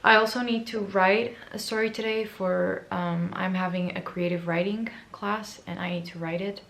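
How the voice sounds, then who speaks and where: A woman in her twenties chatting casually to camera in a book vlog. Her voice is raspy and hoarse from allergies, and she is chatty, excitable and self-mocking. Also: mid-range voice, normal speed. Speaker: book vlogger.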